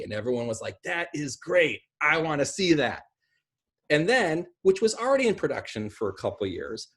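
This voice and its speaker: Husky-voiced man talking conversationally. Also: mid-range voice. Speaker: guest speaker. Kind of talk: conversational online talk on film and pop culture. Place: small room at home, indoors. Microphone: video call, computer at arm's length.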